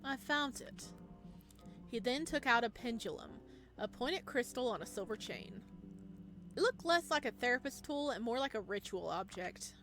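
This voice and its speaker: A woman's voice: fairly high pitch, normal speed, faint music behind. A woman reading a visual novel game aloud, with dramatic, theatrical narration and playful reactions. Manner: expressive, theatrical.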